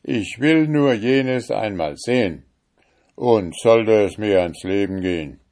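A man's voice: on the low side, extremely slow. A man voicing a rich, wicked judge who blusters and threatens in a storybook reading. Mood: defiant. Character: rich wicked male judge, overbearing and arrogant.

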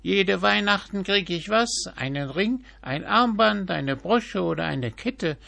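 Man voicing a magpie, high squawk, greedy, gravelly, storybook. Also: animated, measured pace, pushed and bright, full character voice. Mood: greedy, excited.

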